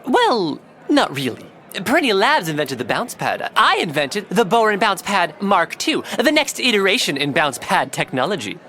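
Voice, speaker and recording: Animated, pompous eccentric woman scientist. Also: mid-range voice, moderate pace. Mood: proud, pedantic. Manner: pompous, fussy, dismissive, emphatic. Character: proud, pedantic, eccentric female scientist and inventor. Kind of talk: animated game-world audio drama acting.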